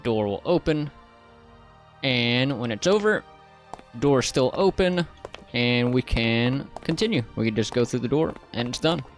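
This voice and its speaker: A man narrating a software tutorial in a casual, informal, step-by-step way. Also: mid-range voice, animated, measured pace, faint music behind. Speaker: tutorial host.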